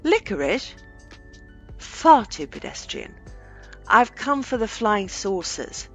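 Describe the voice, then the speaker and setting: Woman voicing a pompous, slow-spoken gentleman solicitor. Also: medium pitch, very animated, slightly rough, faint music behind, exaggerated voice. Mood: disdainful, rapturous. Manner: pompous, disdainful, emphatic. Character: tall balding pompous gentleman solicitor with sweet tooth.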